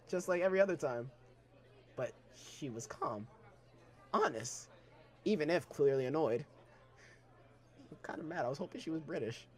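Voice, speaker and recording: A young man reading a novel aloud in first person, breaking off for irreverent, joking asides. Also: high-pitched. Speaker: reader of a novel.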